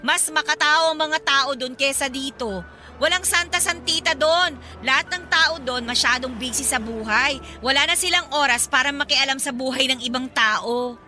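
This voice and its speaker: A woman's voice: high voice, normal speed, sharp tone. An actress playing a defensive young single mother in a radio drama, answering with sarcastic, indignant retorts. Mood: biting. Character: defensive young single mother home from Manila, sharp-tongued. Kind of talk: Tagalog radio drama acting. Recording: background music under dialogue.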